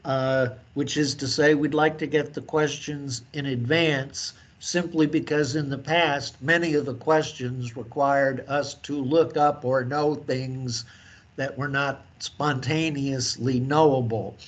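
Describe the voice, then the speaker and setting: Slow, deliberate man moderating a meeting. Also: on the high side. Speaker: meeting host. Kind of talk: semi-formal meeting moderation. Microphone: conference call.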